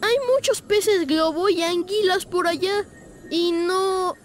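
A sweet, timid little cartoon fish acted by a woman with a boyish, extremely high voice. He speaks in an earnest, hesitant way, as a young character in an animated game. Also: takes it slowly, a little hiss or hum. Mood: nervous, hesitant. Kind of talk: animated video game voice acting.

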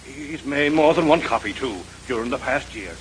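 An actor playing a loyal, folksy old museum guard in a 1930s radio drama. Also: male voice, medium pitch, moderate pace, lightly acted. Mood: agitated, alarmed. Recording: noisy vintage radio transcription.

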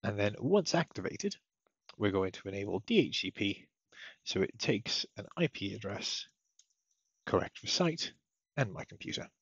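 A man with a fairly low, husky voice walks through software setup as a tutorial presenter. He explains each step methodically. The voice-over sounds slightly muffled. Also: big pitch swings, moderate pace, long pauses, no room sound. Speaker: technical support tutorial presenter.

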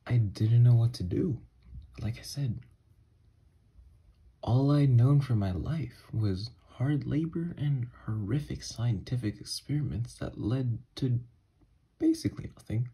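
A man acts out an obsessed scientist who escaped a mental ward, in an ASMR horror roleplay. His voice is very breathy and mellow, and he confesses slowly and haltingly, full of remorse. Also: mid-range voice, expressive, heavily acted. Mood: regretful, confessional. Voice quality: husky, breathy.

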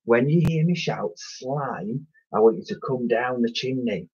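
Casting: earnest young storybook lad, acted by a male narrator, urgent, pleading, raised husky voice. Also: high-pitched, slow, highs cut off, pitched above the performer's own voice, exaggerated voice. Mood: urgent, exasperated. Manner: plaintive, urgent.

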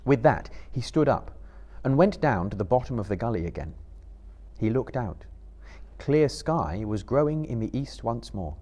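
Male storyteller, thirties: literary dramatic narration, measured delivery, husky mid-pitched voice with lively movement. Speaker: storyteller, reader. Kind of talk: live dramatic audiobook-style narration. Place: indoor small stage before live audience.

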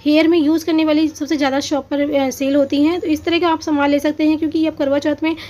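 High-voiced woman vlogging from an outdoor market. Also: level tone, quick pace, dark tone. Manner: chatty, hesitant with many fillers, addresses viewers as friends. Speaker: shopping vlogger. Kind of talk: walking market tour with price talk. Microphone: handheld phone, camera pointed at goods.

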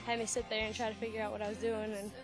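A young woman dancer answering backstage interview questions haltingly, with plenty of fillers, into a handheld mic. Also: fairly high pitch, little pitch movement. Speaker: dancer in talent show. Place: convention backdrop, handheld mic. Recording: music playing behind.

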